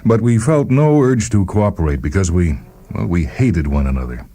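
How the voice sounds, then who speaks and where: A man's voice: sits low, lively intonation, moderate pace, mellow, voice pushed up. A man playing a bitter, haughty male scientist in a radio story, speaking with clipped disdain.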